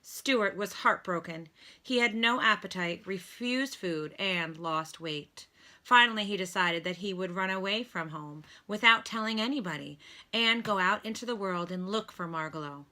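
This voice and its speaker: A woman reading a children's storybook aloud like a teacher, with deliberate, instructive delivery. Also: medium pitch, sharp tone. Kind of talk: read-aloud storybook narration with lesson prompts.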